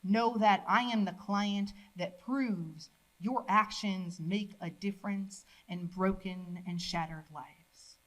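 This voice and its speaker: A woman's voice: mid-range voice, fairly even intonation, slow pace, few pauses, low-quality recording. A woman at a college event reading her poems aloud in a declamatory, rising voice, with casual remarks to the audience in between. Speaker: poet reading her own work. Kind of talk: spoken-word poetry reading with asides.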